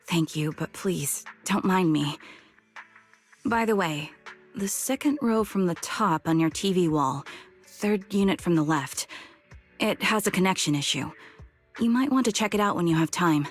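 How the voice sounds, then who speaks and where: An actress playing a composed, reserved retired female soldier in a video game, speaking in a calm, formal and measured way. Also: fairly low pitch. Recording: music under the voice.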